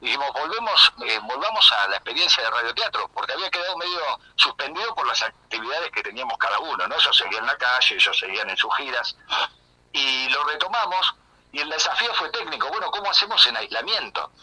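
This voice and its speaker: A man's voice: a little high, bright, forceful tone. A man with an Argentine Spanish accent speaks as a radio interview guest, explaining at length in an earnest, enthusiastic way with rephrasing and asides over a phone-like line. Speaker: interview guest, community radio communicator and project coordinator.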